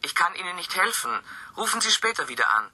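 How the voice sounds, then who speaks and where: An actress playing a curt, officious military office receptionist in a science fiction radio play. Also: female voice, on the low side, very animated, fast, crowd noise. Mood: officious, unhelpful.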